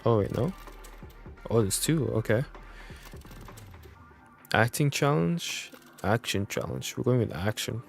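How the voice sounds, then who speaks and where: A man's voice: mid-range voice, lively intonation, takes it slowly, creaky, long pauses. A man streaming a video game with casual, rambling, informal commentary. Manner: informal, rambling, mild swearing. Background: game music.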